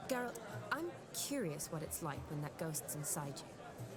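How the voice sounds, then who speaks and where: An actress playing a gentle, curious young female healer in a game cutscene. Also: low-pitched, very animated, measured pace, loud music behind the voice, a loud crowd. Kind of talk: video game cutscene acting.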